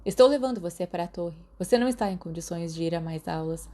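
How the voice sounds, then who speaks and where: A woman with a Brazilian Portuguese accent voicing a caring, brisk young witch, worried but taking charge. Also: fairly low pitch, warm tone, close to an everyday voice. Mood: anxious, brisk. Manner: worried, then firm and take-charge.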